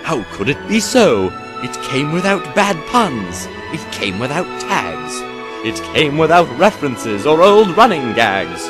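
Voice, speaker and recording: A man voicing a creepy, scheming old thief in a rhyming storybook parody, with a theatrical, drawn-out delivery. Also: on the high side, very animated, over music. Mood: puzzled.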